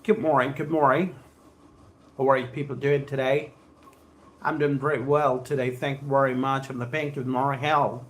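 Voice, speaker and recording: A man's voice: mid-range voice, level tone, normal speed. A man with a South Indian accent teaching English on a live stream, reading aloud and addressing viewers in an effusive, formal way, repeating his phrases. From Andhra Pradesh, India. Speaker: spoken English trainer hosting a live stream.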